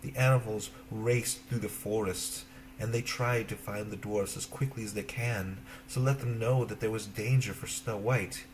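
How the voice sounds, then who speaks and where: A man narrating a children's fairy tale as an expressive storyteller, in simple phrases. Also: fairly low pitch, normal speed, a little hiss or hum, rough recording.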